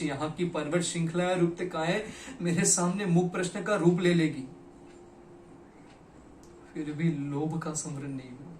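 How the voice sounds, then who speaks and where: Man acting a high-voiced, broken, regretful poet. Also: a little mellow, natural voice. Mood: anguished. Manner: dramatic, deliberate, with weighted pauses and repetitions. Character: broken, regretful poet confessing to his lost beloved. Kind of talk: theatrical Hindi stage monologue. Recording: echoey room, distant mic, faint noise.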